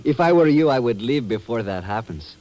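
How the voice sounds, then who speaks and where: An actor playing a formal, measured secretary with veiled menace in a 1940s radio drama. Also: male voice, mid-range voice, expressive, warm tone, muffled recording, close to an everyday voice. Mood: veiled threat. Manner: formal, measured, politely sinister. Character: smooth, veiled-menacing Guatemalan male secretary. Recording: old radio recording.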